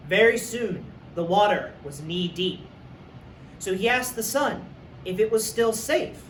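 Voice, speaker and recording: A man's voice: sits high, expressive, a little slow, a bit bright. Guy teacher reading a kids story, echoey room, kinda far from the mic. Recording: echoey room, distant mic, faint noise.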